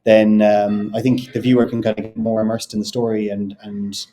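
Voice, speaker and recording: A man with a neutral Irish accent, a film director answering interview questions in a thoughtful, measured way that often circles back to rephrase. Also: on the low side, flat delivery, dark tone, a little hiss or hum. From Ireland.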